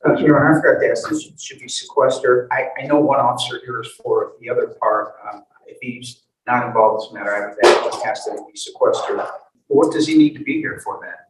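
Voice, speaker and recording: A man's voice: medium pitch, fairly quick. A man speaking as a defense attorney in a courtroom, formal and deferential, hesitant and often repeating his words. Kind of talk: formal but halting courtroom argument. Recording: echoey courtroom, distant mic, background noise.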